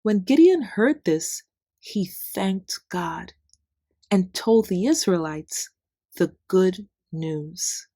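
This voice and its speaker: A woman reading a children's bedtime story in simple, deliberate phrases with expressive emphasis. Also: lowish voice, husky, no echo, clean studio sound. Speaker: children's Bible story narrator. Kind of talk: scripted read-aloud bedtime story narration.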